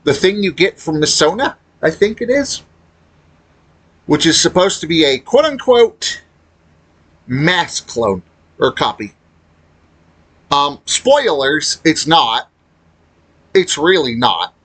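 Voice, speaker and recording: A man with a rough, husky voice talks through his gaming commentary off the cuff. His pitch moves up and down a lot while the pace stays slow. He rambles and halts, reworking his thoughts as he speaks. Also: sing-song, a bit bright. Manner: rambling, halting delivery with trailing thoughts. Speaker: video creator commenting on a game. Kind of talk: unscripted casual gaming commentary.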